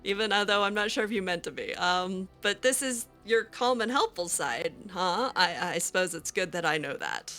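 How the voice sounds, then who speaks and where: A woman's voice: fairly low pitch, animated, moderate pace, few pauses, pushed and bright, faint music behind. A young woman roleplaying her game character aloud, talking hesitantly with many fillers and restarts. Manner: hesitant, filler-heavy, giggly.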